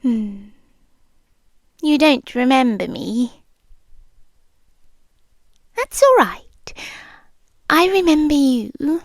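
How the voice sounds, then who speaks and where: A British woman voicing a possessive, doting female spider monster in an intimate ASMR roleplay, speaking softly, often whispering, with sweet menace. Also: high voice, sing-song, very slow, long pauses, dry recording, studio quality, full character voice. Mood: adoring, teasing.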